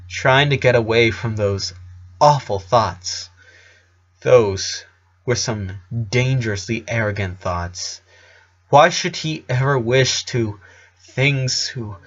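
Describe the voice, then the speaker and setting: A man reading scripted fiction aloud as an expressive narrator. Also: mid-range voice, muffled recording.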